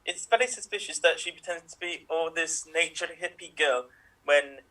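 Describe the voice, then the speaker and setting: A man playing a shady doctor with a high, bright voice and a muddled accent. He rambles through his suspicions. The sound is a little distant from the microphone. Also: moderate pace. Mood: suspicious. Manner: rambling, speculative.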